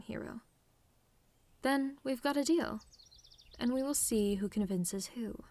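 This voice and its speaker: A woman playing a proud, sardonic telepathic villainess who is secretly soft, in a dramatic, teasing ASMR roleplay addressed to the listener. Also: fairly high pitch, close to an everyday voice. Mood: confident, teasing. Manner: haughty, dramatic, teasing, exasperated.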